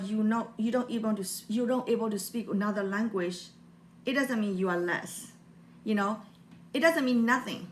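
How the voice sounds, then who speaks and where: A woman's voice: medium pitch, reverberant, slight background noise. A woman from Burma, Burmese her first language, talking earnestly in a rambling motivational vlog with non-native grammar and many fillers. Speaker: motivational vlogger. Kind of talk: personal motivational monologue.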